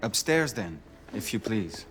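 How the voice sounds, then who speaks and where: A man's voice: on the low side, very animated, unhurried. A suave French knight in a game drama. He has a French accent and speaks in courteous, formal phrases with wry irreverence. Faint ambient noise sits behind the voice. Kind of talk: video game acting.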